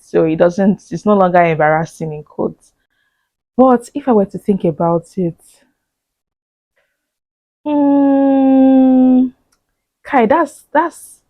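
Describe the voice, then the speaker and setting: A woman's voice: mid-range voice, darkish tone, no room sound. A woman in her thirties chatting casually as she answers audience questions, laughing often and thinking aloud. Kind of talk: casual chat.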